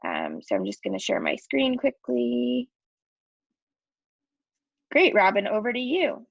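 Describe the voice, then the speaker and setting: A woman hosting a webinar, opening it in a cheerful, brisk way over a video call. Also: a little high, huge pitch swings, gravelly, breathy edge, no echo, highs cut off. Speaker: webinar host.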